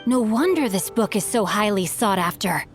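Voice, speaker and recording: A woman's voice: medium pitch, expressive, moderate pace, loud music behind the voice, close to an everyday voice. A woman voicing a bookish, righteous teenage boy swordsman in an anime game, formal and eloquent. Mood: absorbed, delighted.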